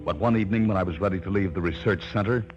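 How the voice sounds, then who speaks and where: A man's voice: sits low, racing, music in the background, natural voice. An actor playing an earnest, polite research chemist in his thirties, in 1950s radio drama style. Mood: reflective. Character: earnest male research chemist, respectful former student. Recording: dull old 1950s radio recording.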